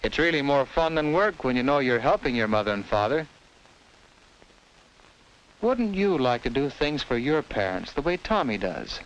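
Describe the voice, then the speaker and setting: A man's voice: mid-range voice, very animated, moderate pace, muffled recording. An American man narrating a 1950s educational film in simple, instructive storytelling addressed to children, on a vintage film soundtrack.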